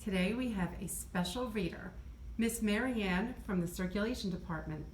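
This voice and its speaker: A woman's voice: medium pitch, normal speed. A woman introducing a guest reader to an audience of children. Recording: echoey room, faint background noise.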